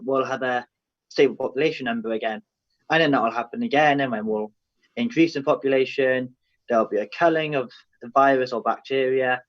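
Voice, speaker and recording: A young man on a panel in an online discussion, thinking aloud tentatively and often starting with "I feel". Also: medium pitch. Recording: dull lo-fi audio.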